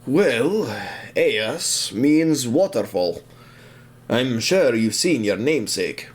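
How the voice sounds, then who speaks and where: A man voicing a big, kindly old tank engine with a Scottish accent, blunt and deliberate, in an audio drama. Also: mid-range voice, expressive. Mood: thoughtful, firm. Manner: forward, deliberate, good-natured.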